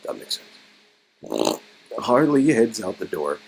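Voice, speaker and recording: A man's voice: on the low side, wildly swooping pitch, slow pace, vocal fry, faint music behind, rough recording. A man reading a story game aloud in a casual, wry way, mixing dramatic narration with informal banter. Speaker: reader performing a story game.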